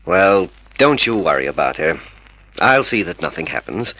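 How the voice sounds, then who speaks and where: An actor playing a scheming con man who poses as a private detective with measured, reassuring talk, in an old-time radio drama. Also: low voice, sing-song, pushed and bright, full character voice, poor audio. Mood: reassuring, probing. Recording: vintage radio drama recording.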